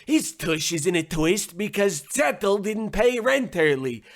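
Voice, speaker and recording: Man acting a high, creaky, worrying neighbour. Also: big pitch swings, measured pace, full character voice. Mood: gossipy. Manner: mock-dramatic, wordy, complaining. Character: gossipy, worrying, doom-laden male tenement neighbour. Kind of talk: parody of old-time radio acting.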